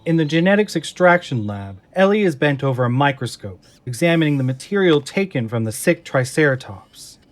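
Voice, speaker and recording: A man's voice: a little high, animated, a little fast, hardly any pauses. A man reading scripted dramatic narration for an audio drama in a suspenseful storytelling manner. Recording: music and effects behind narration.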